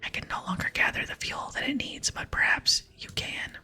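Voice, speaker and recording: A woman's voice: normal speed, sharp tone. Woman whispering as a solemn lone dwarf smith. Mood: earnest, solemn. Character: solemn lonely last dwarf smith, mournful but hopeful. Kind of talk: ASMR game dialogue reading.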